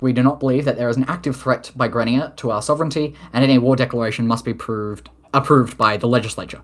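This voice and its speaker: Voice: medium pitch, steady tone, fast talker. A speaker taking submitted questions in a mock-formal political address, reading official statements with casual asides. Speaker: head of government of an online role-play nation.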